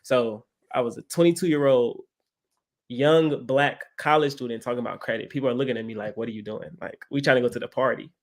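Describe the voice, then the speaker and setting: A man's voice: medium pitch, a little fast. A 24-year-old man, an interview guest who teaches about credit, talking casually and often repeating himself. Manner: repetitive, leans on "right?".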